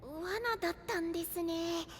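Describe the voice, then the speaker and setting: A man voicing a polite, timid young male knight in an anime voice drama, speaking in a soft, earnest way. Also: very high-pitched, unhurried.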